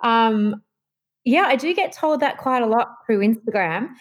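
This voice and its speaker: A woman's voice: fairly high pitch, lively intonation, unhurried. A woman with an Australian accent and a nasal voice, chatting as an interview guest with many fillers and restarts. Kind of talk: casual interview chat.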